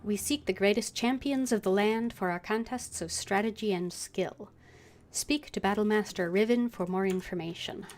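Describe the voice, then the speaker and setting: A woman's voice: mid-range voice, normal speed, a little mellow. A woman gaming streamer commenting live, reading dialogue aloud and musing as she plays.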